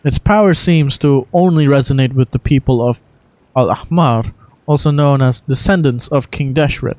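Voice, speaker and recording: A performer voicing a composed, courteous adult character from a fantasy game in a measured, expository manner. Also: medium pitch, darkish tone, no room sound, natural voice. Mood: solemn, wondering.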